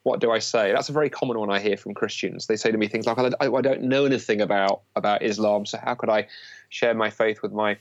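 A man's voice: on the low side, fast talker, no room sound. English man explaining in a dull, dry recording. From England. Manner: animated, explanatory, light humour. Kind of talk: radio interview.

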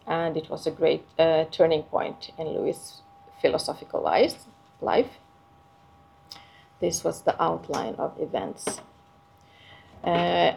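Low, gravelly woman. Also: mellow. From Finland. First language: Swedish. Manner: hesitant, many filler sounds and restarts. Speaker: conference speaker. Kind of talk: academic conference paper.

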